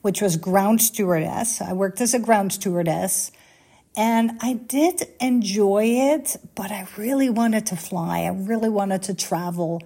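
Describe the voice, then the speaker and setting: A woman's voice: mid-range voice, a little slow, dark tone, husky. A middle-aged woman from the Netherlands with a Dutch accent, telling stories about her own career in a rambling, chatty way with lots of asides and self-corrections. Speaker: podcast host, former flight attendant. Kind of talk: casual storytelling.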